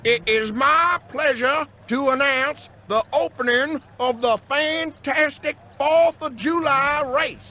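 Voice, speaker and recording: An actor playing a pompous, greedy, blustering county boss with a theatrical delivery. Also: male voice, extremely high pitch, slow pace, few pauses, bright, forceful tone, exaggerated voice.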